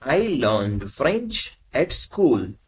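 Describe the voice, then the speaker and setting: A man teaching as a language tutor, reading short prompts in slow, measured phrases. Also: mid-range voice, big pitch swings, slight background noise. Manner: measured, carefully spaced phrases. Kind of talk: slow, deliberate lesson prompts.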